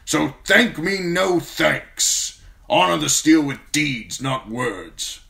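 A man doing a gruff old barking commander. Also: on the high side, expressive, takes it slowly, pushed and bright, pitched above the performer's own voice, heavily acted. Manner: brusque, barking orders.

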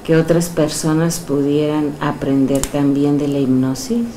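A middle-aged woman with a Mexican accent, a hypnotherapist who guides a session with soft, slow suggestions and then chats warmly with her client in a small indoor room. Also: low-pitched, a little hiss or hum, rough recording. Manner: soft, slow counting and suggestions, then lively coaxing questions.

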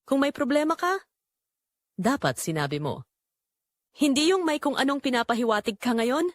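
An aggrieved working husband played by a woman narrator in an audio drama, brusque and dismissive. The accusing lines swing in pitch and sound dry. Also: big pitch swings, normal speed, no room sound. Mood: defensive, sarcastic.

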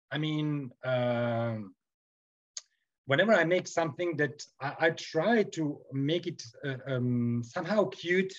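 A man in his forties from Serbia with a Serbian accent, speaking as a guest on a video call interview, halting with many fillers and restarts. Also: on the high side. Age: 40s. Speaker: guest sculptor. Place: home workroom with shelves. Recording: muffled video call audio.

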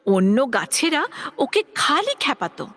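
A woman tells children's fables in a high voice with lively, swinging intonation. Her pace is slow and deliberate, like scripted storytelling.

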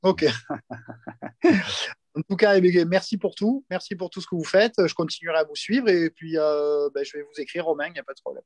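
Friendly higher-voiced man, dry sound. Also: steady tone, normal speed, a little mellow, no room sound. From France, Aix-en-Provence. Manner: friendly, eager agreeing. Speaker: caller asking questions. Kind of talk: casual Zoom chat. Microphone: video call.

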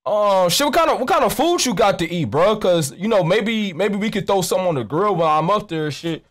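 A man's voice: high voice, expressive, a little fast, a bit bright. A young American man making a prank call in casual street slang, rambling, nonchalant and profane, close on a studio mic. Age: young adult. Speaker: prank caller and streamer. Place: indoors at a streaming desk. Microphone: close studio mic with pop filter, headset on.

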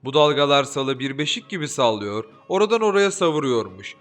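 A man reading a folk tale aloud as an audiobook narrator, expressive and lively, giving the characters their own voices. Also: medium pitch, a little fast. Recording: soft background music.

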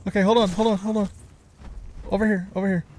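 Higher-voiced middle-aged man doing gameplay commentary. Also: level tone, fast, a little mellow, a little hiss or hum. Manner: talks to himself and to the game, mild swearing. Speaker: let's play host. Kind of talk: gameplay commentary, casual and reactive.

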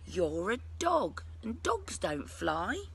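A woman's voice: mid-range voice, huge pitch swings, slow pace, creaky, slightly rough, exaggerated voice. A woman voicing a scornful, dismissive young schoolboy dog in a children's storybook. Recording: noisy outdoor ambience.

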